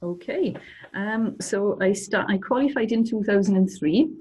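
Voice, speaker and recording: A woman in her fifties from South Wales with a South Welsh accent, an accountant answering interview questions over a video call, pausing often with ums. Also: medium pitch, a little mellow, slightly rough, rough recording. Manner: hesitant, with frequent ums. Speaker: accountant and practice owner.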